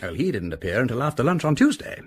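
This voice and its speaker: An actor playing an articulate British gentleman detective in a radio drama, fluently and precisely reporting his findings. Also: mid-range voice, big pitch swings, quick pace, breathy edge. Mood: matter-of-fact.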